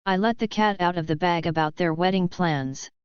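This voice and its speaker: A woman slowly reading English idioms with their meanings and example sentences, repeating each one. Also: on the low side, clean tone, no room sound. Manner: reads each line plainly and repeats it. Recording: dull, slightly lo-fi voice track.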